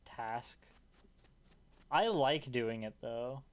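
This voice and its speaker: A young man streaming a game, chatting casually with a calm, relaxing voice, rambling loosely and swearing often. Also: medium pitch, very slow. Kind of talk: casual gaming stream chat.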